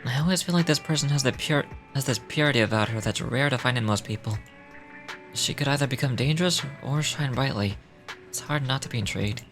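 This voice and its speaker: A man's voice: medium pitch, brisk, a bit bright. A man reading game dialogue aloud in character, with small stumbles as he reads. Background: music.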